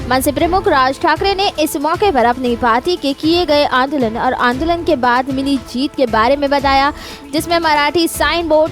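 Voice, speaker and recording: An Indian woman narrates a news report from a script. Her voice is very high, and faint music plays underneath. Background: faint music bed.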